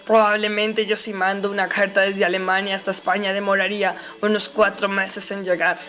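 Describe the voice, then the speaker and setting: Woman playing an earnest reformer monk, even-toned. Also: mid-range voice, fairly quick, close to an everyday voice. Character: earnest, pious male religious reformer monk. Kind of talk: amateur educational radio play.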